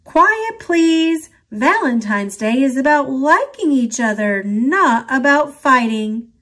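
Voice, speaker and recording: A storybook schoolteacher, read aloud by a woman, sounds firm and calls out high above the room. She speaks slowly and with plenty of rise and fall in her voice. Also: sing-song, heavily acted. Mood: stern. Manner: firm, raised hushing call. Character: schoolteacher, woman, strict but calm.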